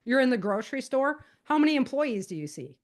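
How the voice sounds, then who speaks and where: Higher-voiced woman, casual interview guest. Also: big pitch swings, brisk. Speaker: guest author giving safety advice. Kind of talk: casual, conversational podcast interview.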